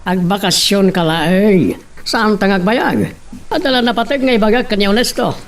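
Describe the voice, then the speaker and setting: Casting: actor as middle-aged dad in a radio drama, gravelly, exasperated peacemaker, slight noise. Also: male voice, high voice, normal speed. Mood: placating. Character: exasperated father keeping peace at home. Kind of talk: Ilocano audio drama.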